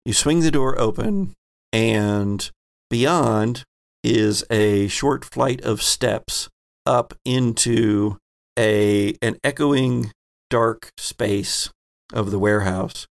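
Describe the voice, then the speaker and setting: A man acting as game master, narrating a tabletop role-playing scene in a halting, deliberate way. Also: medium pitch, gravelly.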